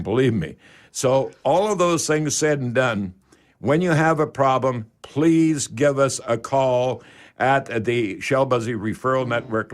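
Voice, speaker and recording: A man hosting a radio advice show on home repair, speaking in a halting, folksy way and repeating words for emphasis. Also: mid-range voice.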